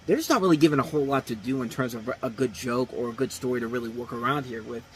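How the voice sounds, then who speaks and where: A man's voice: mid-range voice, quick pace, poor audio. Thirties male host, loose unscripted film talk, restarts often, faint hiss behind. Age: 30s. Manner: rambling, restarts, repeats phrases. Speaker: movie reviewer host. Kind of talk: casual unscripted review talk.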